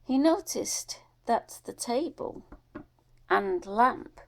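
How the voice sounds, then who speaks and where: A woman narrating an audiobook story in a measured, deliberate storytelling manner. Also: a little high, expressive, creaky.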